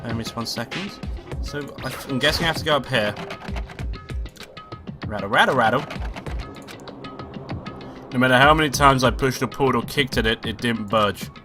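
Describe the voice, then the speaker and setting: Brief: male let's-play host, nasal from a cold, loud game music behind, offhand and profane between lines. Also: medium pitch, moderate pace, pauses often. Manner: swearing, offhand asides between read lines. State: sick and nasal. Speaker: let's play host reading a visual novel aloud. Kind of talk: casual let's play commentary and read-aloud.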